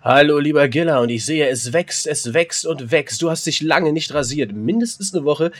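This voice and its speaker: Male podcast co-host, 30s, slightly high voice, jokey and animated, lots of restarts. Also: normal speed. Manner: joking, animated, restarts often. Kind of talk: casual comedic chat.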